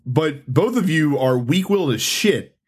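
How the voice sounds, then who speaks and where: Stuffed-up nasal guy hosting a podcast, husky voice, bouncy pitch. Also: unhurried. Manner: chatty, laughs often, lively delivery. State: congested, nasal from a cold. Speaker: podcast host. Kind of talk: casual podcast chat.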